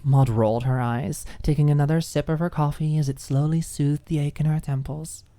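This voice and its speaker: A woman's voice: low-pitched, steady tone, moderate pace, dark tone, no room sound. A woman narrating a scripted story in an ASMR audiobook style.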